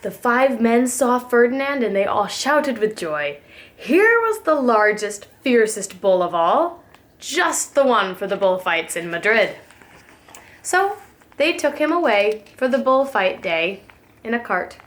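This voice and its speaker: Slow, higher-voiced woman reading a children's story. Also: big pitch swings, fairly bright tone. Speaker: preschool teacher reading a story aloud. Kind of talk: children's storybook read-aloud.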